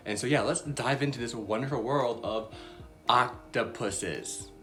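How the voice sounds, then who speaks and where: A woman's voice: extremely low pitch, a little slow. A woman hosting a casual Bible lesson for young children, chatty and bubbly, with many fillers. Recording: soft background music.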